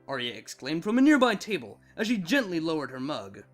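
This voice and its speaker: Male storybook narrator, high-pitched, expressive rise and fall, scripted read. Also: fairly quick, faint music behind.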